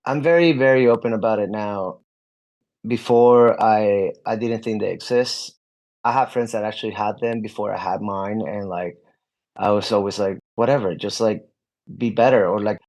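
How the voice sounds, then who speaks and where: Man, young adult, low voice, rambling, lots of "like", candid interview guest. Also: moderate pace, a little mellow. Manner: rambling, many "like" fillers and restarts. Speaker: guest musician.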